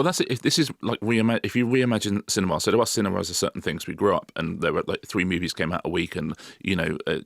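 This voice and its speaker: A man's voice: low voice, vocal fry, fairly bright tone, no echo, clean studio sound. A middle-aged man co-hosting a podcast, talking in an emphatic, opinionated way. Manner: emphatic, opinionated, quick back-and-forth. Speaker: podcast co-host. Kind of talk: casual chat.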